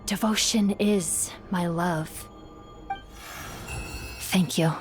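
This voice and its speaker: A woman's voice: fairly low pitch, steady tone, very slow, faint music behind. An actress playing an ancient regal female djinn in a video game, speaking with poetic, formal grandeur and wistful devotion. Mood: serene devotion. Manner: poetic, formal, theatrical delivery.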